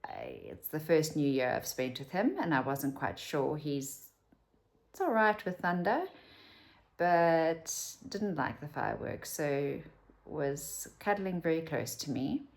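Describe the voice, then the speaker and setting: A South African woman in her fifties talks to camera at home in a low voice with a gravelly fry. She rambles candidly and drops to a whisper for asides. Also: very animated, takes it slowly. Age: 50s. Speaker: vlogger. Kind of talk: casual talk to camera.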